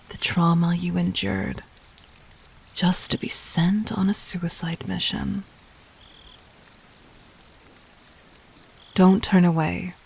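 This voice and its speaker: A woman playing a regal, cunning, gently dominant demon queen in a roleplay audio drama, speaking formally and intimately with measured, breathy poise. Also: on the low side, heavily acted. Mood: probing, tender. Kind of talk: scripted roleplay audio drama addressed to the listener.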